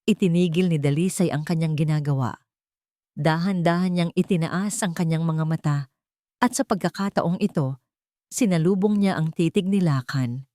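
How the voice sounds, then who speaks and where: A woman's voice: lowish voice, moderate pace, mellow, no echo, studio quality. A woman with a Filipino accent reading a scripted audio drama as a dramatic storyteller.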